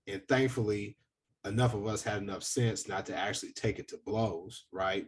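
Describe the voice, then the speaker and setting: Deep husky-voiced man, earnest and reflective. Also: recorded from a distance. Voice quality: deep, booming voice. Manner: earnest, reflective, hesitant with fillers. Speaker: podcast host. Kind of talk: casual discussion.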